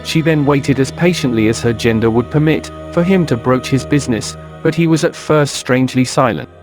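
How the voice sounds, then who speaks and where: A man's voice: mid-range voice, brisk, over music. A man narrating a scripted suspense story in a literary style.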